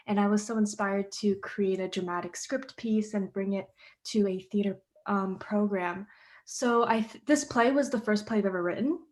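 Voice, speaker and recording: Teenage girl, earnest, halting speech, video call audio, plain middle pitch. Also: darkish tone. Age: teens. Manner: earnest, many "um"s and "like"s. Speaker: playwright guest. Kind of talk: panel discussion answers.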